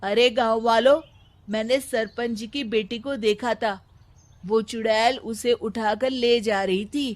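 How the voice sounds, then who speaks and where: A woman's voice: fairly high pitch, fairly even intonation, takes it slowly. A woman voicing a loyal young village man in a cartoon, a protective brother who speaks urgently and earnestly.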